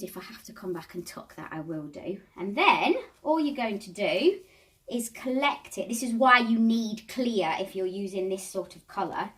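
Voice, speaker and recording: A woman with a mid-pitched voice guides a craft tutorial in a casual, chatty way. She talks to herself as she works through each step. The microphone catches her from a little distance at times. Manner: chatty, self-talking while working.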